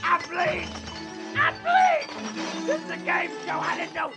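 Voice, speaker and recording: An actor playing a cocky, folksy small-town game show contestant in a comic radio drama, with an American accent and a rambling, stammering delivery. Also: male voice, very high-pitched, sing-song, slow pace, vocal fry, loud music behind the voice, exaggerated voice. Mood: panicked, screaming.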